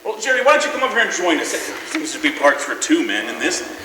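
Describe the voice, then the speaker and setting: Casting: man as showy, eager tenor for radio variety show, high animated voice, noisy reverberant hall recording. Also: fast. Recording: echoey hall, noisy and distant-sounding.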